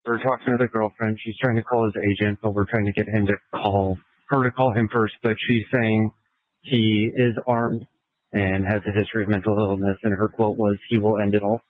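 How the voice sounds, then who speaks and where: Tinny police radio, low monotone man. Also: brisk, dry recording. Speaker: police radio speaker relaying information. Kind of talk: radio dispatch relay.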